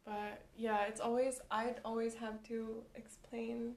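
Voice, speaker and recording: A woman talking in a casual chat, soft and hesitant. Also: fairly high pitch, flat delivery, slow. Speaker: guest. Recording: echoey room with faint background noise.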